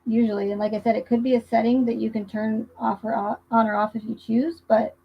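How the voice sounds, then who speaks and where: A woman's voice: a little high, flat delivery, brisk, creaky, slight background noise. A woman hosting a livestream, giving casual commentary in a conversational, emphatic way that repeats points for emphasis. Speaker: livestream host. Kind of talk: casual livestream commentary on a true crime case. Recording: muffled, dull lo-fi livestream audio.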